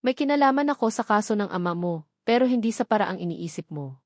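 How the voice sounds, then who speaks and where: A woman's voice: medium pitch, lively intonation, fast, darkish tone, dry recording, natural voice. A desperate husband , acted by a woman, defends himself in an audio love drama. He sounds pleading and guilty, in a dry recording with little room sound. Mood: strained, explaining.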